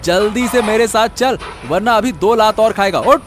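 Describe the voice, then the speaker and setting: A man voicing a bossy, scheming, foolishly hopeful villager who exclaims theatrically in a comic animated story. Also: sits high, moderate pace, faint music behind, natural voice. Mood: bossy, excited.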